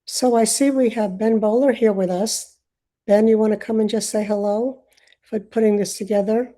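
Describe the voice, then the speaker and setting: An older woman born in Uganda, with Swahili as her first language, hosting an online video call and speaking in a reflective, halting way. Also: medium pitch, fairly even intonation, dark tone. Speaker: host.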